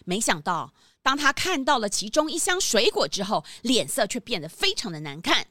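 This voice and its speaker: A woman narrator telling a scripted children's story in an expressive, emphatic storytelling manner. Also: fairly high pitch, moderate pace, dry recording. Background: music under the narration.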